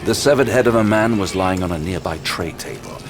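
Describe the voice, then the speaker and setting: An actor playing a grim detective, narrating an audio drama in a terse, grave, suspenseful way. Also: male voice, low-pitched, brisk, over music, natural voice. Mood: tense suspense. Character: grim male detective recounting a horror case. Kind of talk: first-person dramatic narration.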